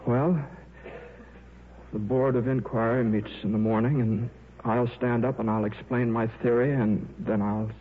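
An actor playing a shy, absent-minded middle-aged scientist in a 1950s radio drama, with a hesitant, stammering delivery. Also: male voice, medium pitch, fairly even intonation, a little slow, lightly acted. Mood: weary, resigned. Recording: muffled, noisy old radio broadcast.

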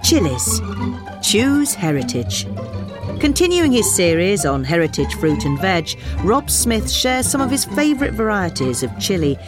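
A woman's voice: medium pitch, very animated, measured pace, over music. A British woman narrating a scripted magazine article aloud. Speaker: article reader.

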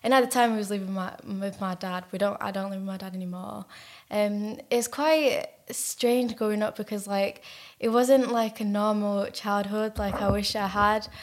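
A young woman from Manchester with a Manchester accent, telling her life story as a podcast guest, halting with many fillers and restarts. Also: mid-range voice, fairly even intonation. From Manchester, England. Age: young adult. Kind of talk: casual personal storytelling.

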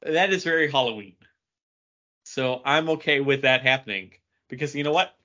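A man's voice: mid-range voice, moderate pace, sharp tone, muffled recording. A man co-hosting a podcast, chatting casually and tossing in dry, joking asides. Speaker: podcast co-host. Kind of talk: casual bantering podcast chat.

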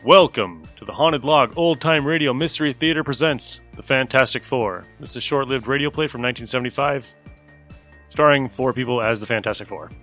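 A man's voice: medium pitch, fairly quick, bright, forceful tone, faint music behind. A man hosting a podcast, introducing it in a casual style. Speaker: podcast host.

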